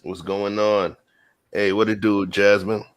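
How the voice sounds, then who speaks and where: Deep-voiced American man hosting casual banter. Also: level tone, measured pace. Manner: playful teasing, slangy. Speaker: host of an online reaction show.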